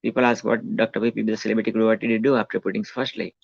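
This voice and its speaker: Gravelly Gujarati-accented man, rambling. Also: on the low side, flat delivery, racing, no room sound. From India. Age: 40s. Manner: hesitant, full of fillers and restarts. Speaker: self-help speaker. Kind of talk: rambling autobiographical monologue. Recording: dull lo-fi dry recording.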